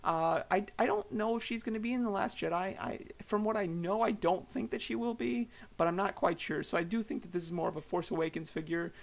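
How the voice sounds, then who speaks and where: A slightly hoarse man giving casual, rambling voice-over thoughts on collectible figures, with many fillers and restarts. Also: high voice, a little fast, hardly any pauses. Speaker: toy collector vlogger.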